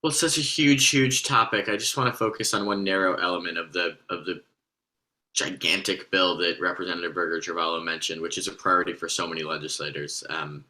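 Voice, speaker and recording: A man with a deep but very bright, forceful voice speaks as a state senator on a panel. He explains things in an articulate, unscripted way. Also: fairly even intonation, brisk.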